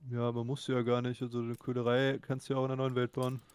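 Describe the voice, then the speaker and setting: A man's voice: medium pitch, completely flat delivery, fast, darkish tone, clean tone, dry recording, soft background music. A man chatting casually over a shared strategy game, exasperated and swearing when his ships get hit. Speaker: let's play co-host. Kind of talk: casual gameplay chat.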